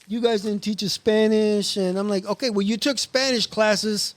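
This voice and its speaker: A middle-aged man chatting as host of a relaxed podcast, his voice sitting a touch higher than most men's. He talks in an easygoing, rambling way and laughs along with his guests. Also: normal speed, no echo. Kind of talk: casual conversation.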